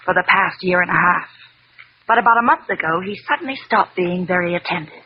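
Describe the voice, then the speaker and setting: An actress as a slippery noir femme fatale, muffled. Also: female voice, lowish voice, brisk, close to an everyday voice. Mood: earnest, defensive. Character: slippery, defiant female liar, a gangster's girlfriend. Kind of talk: 1940s-50s radio drama acting. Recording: muffled, noisy old radio recording.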